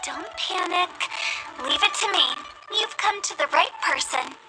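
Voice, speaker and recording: A young female video game character, high-voiced and very sure of herself. She is playful and theatrical, handing out instructions with assurance, while music plays clearly behind her. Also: animated, a little slow, poor audio. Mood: confident, commanding. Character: confident, playful young female funeral parlor director.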